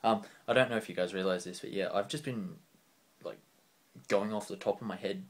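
A young man signing off his vlog, rambling off the cuff and earnest, his voice getting a bit croaky. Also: lowish voice, fairly even intonation, brisk. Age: young adult. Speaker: channel host. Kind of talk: unscripted vlog sign-off. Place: small room indoors, on a couch.

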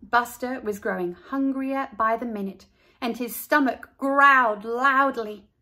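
A woman reads a children's story aloud in a bright, fairly high voice. She takes her time, shaping each phrase expressively. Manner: deliberate, expressive. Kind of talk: scripted children's storybook narration.

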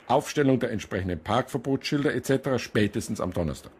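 An actor playing an officious, sardonic police chief giving a clipped briefing in a radio drama. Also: male voice, mid-range voice, animated, fast talker, a little mellow, husky. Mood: brisk, officious. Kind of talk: naturalistic radio drama acting.

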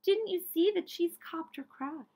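Woman playing a high-voiced, dejected little girl inventor. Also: unhurried, darkish tone. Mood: dismayed, defeated. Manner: dramatic, dejected. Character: shy, self-doubting little girl inventor.

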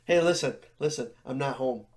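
A man's voice: mid-range voice, normal speed, darkish tone. A man in his thirties making a prank phone call from a small bedroom. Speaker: prank caller.

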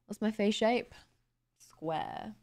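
A young woman streaming from her room late at night, tired and a little raspy, chatting casually and thanking viewers on a close studio mic. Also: medium pitch, extremely slow, darkish tone. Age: young adult. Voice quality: slightly raspy today. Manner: chatty, bubbly, laughs easily. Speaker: live streamer. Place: home streaming room, indoors. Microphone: boom studio mic close to mouth.

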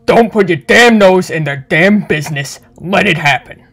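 A man voicing a grumpy, gruff male cat demon who talks in a curt, weary and profane way. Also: high voice, animated, moderate pace, a bit bright, heavily acted. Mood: snapping. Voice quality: gravelly, husky. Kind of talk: fan comic dub.

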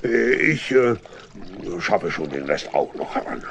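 A man's voice: fairly low pitch, sing-song, slow, gravelly, a bit bright, muffled recording, slight background noise, full character voice. A man acting a sly, chummy vagabond in a children's radio play, with a drawling, folksy, wheedling manner. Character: sly, chummy male tramp, rough vagabond.

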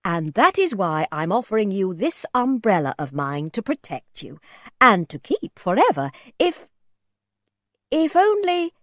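A woman voicing a courteous old gentleman, lively swooping pitch. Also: moderate pace, no echo, studio quality. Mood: coaxing. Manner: well-spoken, courteous, old-fashioned phrasing. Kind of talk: storybook character voice.

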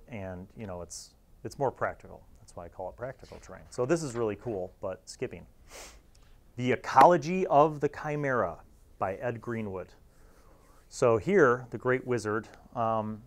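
A man, hosting a casual review in an informal, halting way and sometimes reading aloud. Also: medium pitch. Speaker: host reviewing old gaming magazines.

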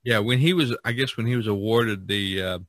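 A man hosting a casual podcast chat, talking in a rambling, halting way with frequent restarts. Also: fairly low pitch, fairly bright tone, dry recording. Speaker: podcast host. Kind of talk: casual chat about painting.

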